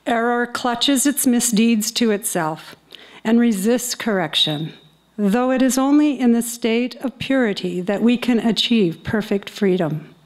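An older woman reading scripture and commentary aloud in a solemn, deliberate manner at a church service. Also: a little high, expressive. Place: indoor chapel. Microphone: stand microphone close to the mouth.

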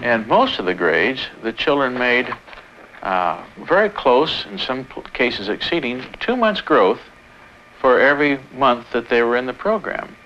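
A man's voice: medium pitch, big pitch swings, unhurried, sharp tone, soft background music. A man in his fifties, an educator explaining emphatically in an office interview on old film. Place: book-lined office. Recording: dull old film, faint noise.

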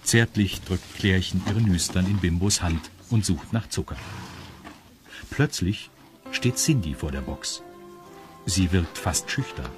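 A man's voice: low voice, lively intonation, measured pace, over music. A man narrating a scripted children's story, confiding in his young listeners. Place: studio.

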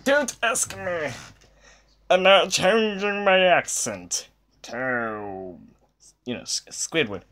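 A man in his twenties gushing in an excited reaction vlog, swinging between shouts and hushed whispers and swearing freely, filmed on a phone held at arm's length in a small bedroom. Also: high-pitched, takes it slowly, pauses often. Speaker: vlogger.

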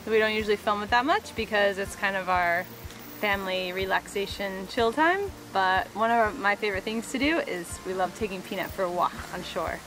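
A woman's voice: medium pitch, fairly quick, a bit bright, soft background music, poor audio. A young woman vlogging while walking outdoors on a trail, talking in a chatty, upbeat way. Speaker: sailing vlogger. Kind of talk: casual vlog talk while walking.

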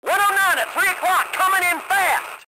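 An actor playing a tense World War II bomber crewman, shouting urgent clipped military callouts in a war video game. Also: extremely high pitch, normal speed, a little hiss or hum, heavily acted, rough recording. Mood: urgent alarm. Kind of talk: video game combat radio chatter.

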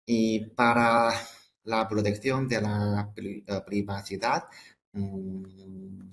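Low-voiced man giving a halting presentation. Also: little pitch movement, gravelly, a bit bright. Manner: halting, hesitant delivery with repeated words and broken phrases. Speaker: product presenter. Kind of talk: webinar product presentation.

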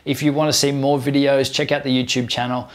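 A man's voice: mid-range voice, little pitch movement, brisk. Man presenting a step-by-step tutorial. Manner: clear step-by-step instruction. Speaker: tutorial host. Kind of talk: scripted how-to tutorial narration.

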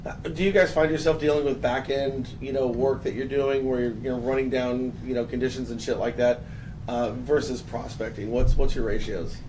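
Gravelly-voiced man hosting a panel. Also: medium pitch, fairly even intonation. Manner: casual, halting, lots of restarts. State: hoarse from a long three days. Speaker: host. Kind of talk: panel discussion. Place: office room with a panel. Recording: distant mic, noisy.

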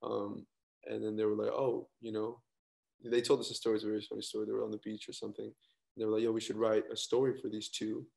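A young man, an actor, chatting casually over a video call in a relaxed, rambling way. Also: lowish voice, quick pace, creaky. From Colombian family. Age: young adult. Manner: laid-back, rambling, trailing off. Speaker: actor guest. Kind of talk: casual interview chat. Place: indoor room, video call.

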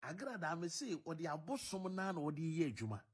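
A man's voice: fairly high pitch, big pitch swings, fairly quick, breathy edge. A man with a Ghanaian accent giving informal, accusatory commentary, insistent and repeating his points. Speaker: commentator in a social media clip.

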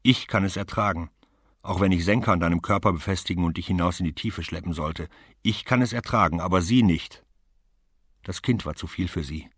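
A man reading aloud and voicing a tough, blunt, hardened woman who talks in a forceful, scolding way. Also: low voice, brisk, breathy edge, no echo, studio quality. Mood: stern, forceful.

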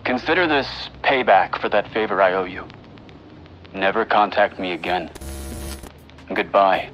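A man playing a curt, menacing underworld figure in a noir audio drama, speaking in a cold, deliberate way. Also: lowish voice, slight background noise, natural voice. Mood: cold, terse. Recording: dull, band-limited sound.

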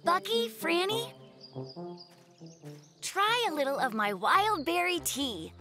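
An actor voicing an earnest, gentle young bear cub in a children's cartoon, speaking in a courteous and slightly formal manner. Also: very high-pitched, big pitch swings, soft background music, exaggerated voice. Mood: friendly. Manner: courteous, measured, a little formal.

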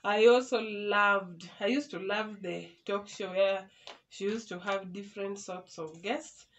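A young Kenyan woman with a Kenyan accent answers viewer questions in a relaxed, chatty vlog, gesturing as she talks. Her voice sits at a middle pitch for a woman. She is recorded by a camera a short way off in a small room, with a faint hum behind her. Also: measured pace. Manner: chatty, animated hand gestures. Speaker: YouTuber. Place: small indoor room, in front of a door.

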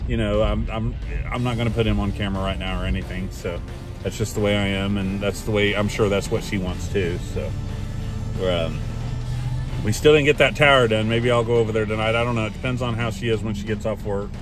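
A man's voice: lowish voice, steady tone, fairly quick, over music. A man in his forties with an American accent, chatting casually and thinking aloud as he vlogs from inside a car. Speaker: vlogger. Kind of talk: casual vlog chat. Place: inside a car while driving. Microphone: camera or phone on the dashboard.

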